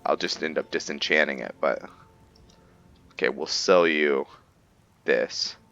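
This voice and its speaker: A man's voice: extremely low pitch, unhurried, sharp tone, dull audio. A man giving casual roleplay gameplay commentary, thinking aloud to himself as he plays. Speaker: gaming video host. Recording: background music under voice. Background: game music.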